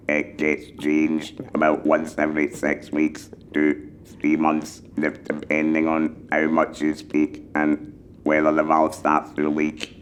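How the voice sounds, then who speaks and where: Male mentor, electrolarynx speaker: mechanical buzz, utterly flat intonation, slow and deliberately articulated advice. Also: rumbling low, a little hiss or hum. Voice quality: buzzing, monotone electrolarynx voice. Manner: measured, deliberately enunciated phrasing. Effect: mechanical electrolarynx vibration. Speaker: patient mentor for electrolarynx users. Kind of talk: explanatory talk giving advice.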